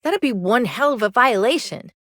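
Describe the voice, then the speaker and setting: An earnest, anxious young woman mechanic in a sci-fi video game. Her voice sits fairly high and carries a folksy Southern US drawl. She comes across as apologetic and sincere, recorded dry without room sound. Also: animated, normal speed, a bit bright, no room sound. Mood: earnest, concerned.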